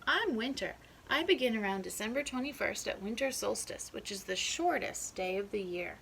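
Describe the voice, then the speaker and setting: Lady doing groundhog pupil reciting a season part in a school skit, high voice, slight creak. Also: expressive, normal speed, a bit bright, slight background noise, low-quality recording. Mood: presenting. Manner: declarative, recital-like self-introduction.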